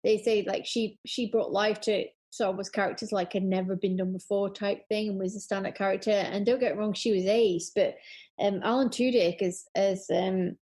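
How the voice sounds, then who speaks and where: A woman's voice: medium pitch, fairly even intonation, normal speed, a little mellow, slightly rough. A woman in a casual chat about films, talking in an enthusiastic, rambling way. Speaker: podcast guest.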